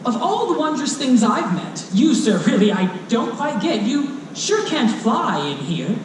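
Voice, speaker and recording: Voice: medium pitch, sing-song, measured pace. A storyteller voicing a brave, kind little girl who speaks in an earnest, polite way. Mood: puzzled. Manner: earnest, bright-hearted, polite. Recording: echoey, distant live recording with noise.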